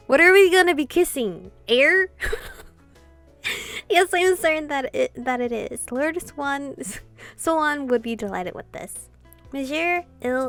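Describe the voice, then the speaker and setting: A woman doing casual, expressive gameplay commentary, reading visual novel dialogue aloud and adding sweary asides. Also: sits high. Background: game music.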